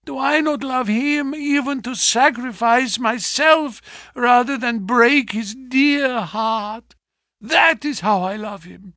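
A man voicing a distraught young wife, speaking in a high, breathy, trembling plea. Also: takes it slowly, pushed and bright, dry recording, clean studio sound, exaggerated voice. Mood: anguished.

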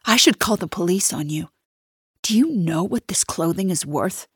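A woman voicing a wealthy, haughty woman who sneers and accuses loudly. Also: lowish voice, animated, normal speed, husky, no echo, clean studio sound. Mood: sneering. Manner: sneering, loud and triumphant.

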